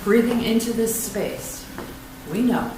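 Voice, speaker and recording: A woman leading a church invocation and readings in a prayerful, unhurried, affirming way. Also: a little high, steady tone. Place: church sanctuary. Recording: echoey room, far from mic, noisy.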